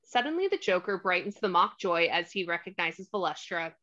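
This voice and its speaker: Woman narrator, lowish pitch, scripted stage directions, slightly muffled lo-fi recording. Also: big pitch swings, fairly quick, a bit bright. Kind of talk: scripted narration, screenplay table read.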